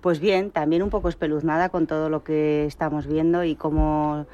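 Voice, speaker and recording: A woman speaking as a panel guest, in measured, halting phrases. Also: low-pitched, flat delivery, warm tone, lo-fi sound. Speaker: financial analyst, panel guest. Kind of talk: unscripted market commentary.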